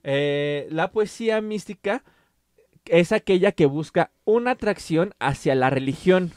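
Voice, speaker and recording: A man's voice: sits high, animated, measured pace, a little mellow, dry recording, studio quality. A man hosting a casual literary podcast, explaining at length with fillers and restarts. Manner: explanatory, many fillers and self-corrections. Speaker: podcast host.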